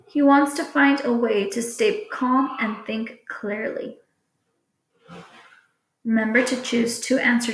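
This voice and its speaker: A woman with a fairly high voice reads test questions aloud as a teacher would. Her delivery is very slow, deliberate and instructional. The room around her adds a clear echo. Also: a bit bright. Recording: echoey room.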